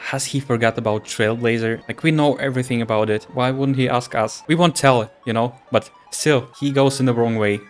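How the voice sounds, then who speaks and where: A male streamer reacts casually to a game story in a mid-pitched voice. He throws out blunt, informal questions and asides. Faint music plays behind him. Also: steady tone, fairly quick, few pauses. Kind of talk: casual gameplay reaction commentary.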